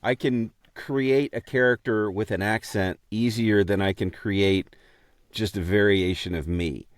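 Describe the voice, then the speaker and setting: A man co-hosting a podcast in casual chat, speaking haltingly with a self-deprecating manner. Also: fairly low pitch, steady tone, dry recording, studio quality. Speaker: podcast co-host and amateur voice actor.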